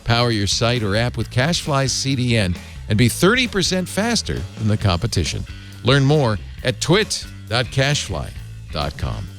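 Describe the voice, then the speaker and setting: A man hosting a tech news talk show, joking with guests and reading sponsor spots briskly. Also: medium pitch, music in the background. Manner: animated, jokey, reads ads briskly. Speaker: host.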